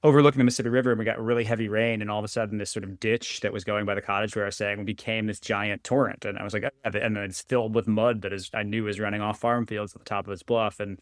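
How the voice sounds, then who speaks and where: A man's voice: fairly low pitch, flat delivery, dry recording, clean studio sound. A man guesting on a podcast as an author, talking in an eager way and cutting himself off mid-thought. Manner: quick, eager, self-interrupting. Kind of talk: conversational interview.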